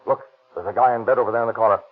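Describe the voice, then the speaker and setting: An actor playing a tough, wisecracking police detective who talks in gruff street slang, in an old radio crime drama. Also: male voice, lowish voice, fairly even intonation, rapid-fire. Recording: tinny 1930s radio broadcast.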